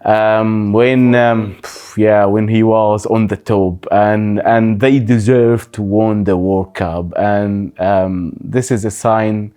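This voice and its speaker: A man, recounting his life story in an interview in a halting, thoughtful way with many pauses. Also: lowish voice, flat delivery, mellow, clear, resonant tone. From Syria. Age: 30s.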